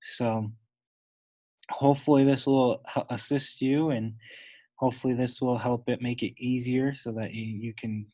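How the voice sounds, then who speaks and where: A man giving an unscripted, instructional step-by-step software tutorial, talking wordily and correcting himself as he goes. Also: medium pitch, steady tone, moderate pace. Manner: instructional, wordy, with self-corrections.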